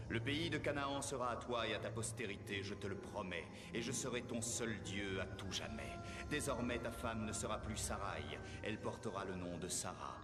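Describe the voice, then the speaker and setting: A man voicing a solemn, majestic God or heavenly messenger in an animated Bible drama. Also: lowish voice, lightly acted. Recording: long cavernous reverb, music behind.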